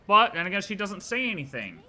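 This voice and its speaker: A man's voice: high-pitched, sing-song, fairly quick, bright, forceful tone. A man livestreaming a video game, chatting casually and thinking aloud in slangy, offhand asides.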